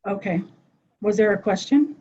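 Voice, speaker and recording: Woman giving a webinar talk, mellow husky voice, lots of ums, earnest, muffled audio. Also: medium pitch, moderate pace. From United States. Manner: earnest, many "um" fillers, thinks aloud. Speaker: behavioral health clinician presenting. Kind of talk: webinar presentation. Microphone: video call.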